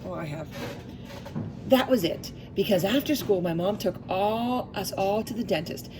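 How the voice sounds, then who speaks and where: Woman reading a kids storybook out loud, talks right to the children, mid voice, pretty noisy recording. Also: mid-range voice, moderate pace. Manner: expressive, addressing children directly with questions. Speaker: read-aloud storyteller for young children. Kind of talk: reading a picture book aloud with asides to children.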